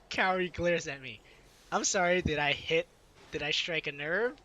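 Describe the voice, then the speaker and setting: A man's voice: on the high side, unhurried, noisy background. A man hosting a let's play, reading a visual novel aloud with casual asides and a giggly manner. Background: game music.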